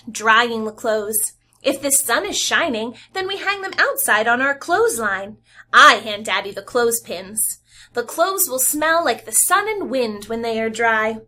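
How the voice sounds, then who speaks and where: A young adult woman reading a picture book aloud to young children as their teacher, expressive and animated, with sound effects and pauses to explain. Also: on the high side.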